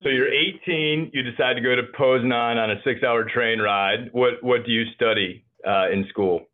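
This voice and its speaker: A man's voice: mid-range voice, steady tone, moderate pace. A man hosting a podcast interview, asking friendly questions with a self-deprecating manner. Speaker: podcast host. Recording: tinny phone-like line.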